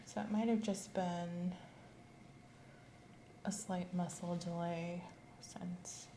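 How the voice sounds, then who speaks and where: Woman, soft-spoken ASMR examiner who drifts into whispers; roomy recording with faint hiss. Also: fairly low pitch, steady tone, takes it slowly. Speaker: examiner in a roleplay. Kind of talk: ASMR medical exam roleplay.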